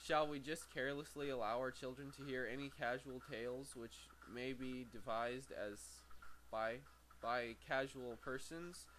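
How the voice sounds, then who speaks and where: A man's voice: mid-range voice, fairly even intonation, a bit bright. A man with a mid-range voice reads a book aloud in an amateur way. He halts, stumbles and corrects himself, sometimes breaking off into casual asides. There is faint noise behind him. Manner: halting, stumbles over words and corrects himself. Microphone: very sensitive microphone. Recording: faint background noise, sensitive microphone.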